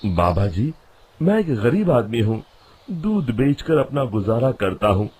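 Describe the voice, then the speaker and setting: A man's voice: mid-range voice, sing-song, measured pace, a little mellow, slightly rough. A man voicing a shrewd, educated young village man in a cartoon moral story. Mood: pleading. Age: young adult.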